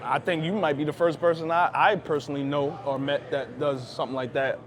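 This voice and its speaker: Male podcast host, moderately high voice, informal slangy delivery, loose conversational style. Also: fairly even intonation, a little fast, crowd noise. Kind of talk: casual chat.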